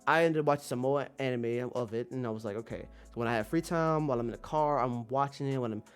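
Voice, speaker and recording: A man's voice: mid-range voice, quick pace, clear, resonant tone. A man talking casually to his viewers as a streamer, rambling in an emphatic, slangy way and repeating phrases for emphasis. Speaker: streamer and manga commentator.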